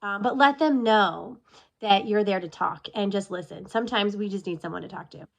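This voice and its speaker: A sniffly woman in her thirties talks straight to her own camera in a casual check-in. Her pitch sits in an ordinary middle range. She is chatty and candid, and she drifts into tangents. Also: moderate pace. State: sniffly from allergies. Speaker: military spouse vlogger. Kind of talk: casual talking-head check-in video. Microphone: self-recorded video.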